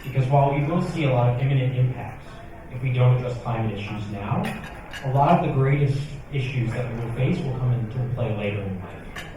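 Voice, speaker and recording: A man's voice: mid-range voice, animated, brisk. A young man speaking earnestly and with passion on a conference panel. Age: young adult. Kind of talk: panel discussion. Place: conference hall. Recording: echoey hall, distant mic, room noise.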